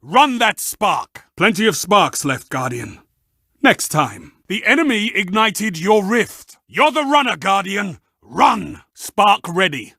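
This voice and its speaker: Fervent arena announcer, high bright voice, dramatic short game calls, exclamatory, savoring each phrase. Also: male voice, sing-song, slow, husky, no echo, studio quality, full character voice. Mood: consoling, stern. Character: theatrical, fervent male arena match announcer urging on fighters. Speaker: match announcer.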